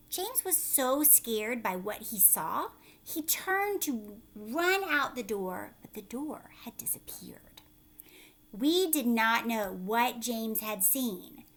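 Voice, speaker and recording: A woman with a fairly high, very lively voice reads a children's book aloud like a teacher. She pauses for drama and stops to explain things and put questions to her young listeners.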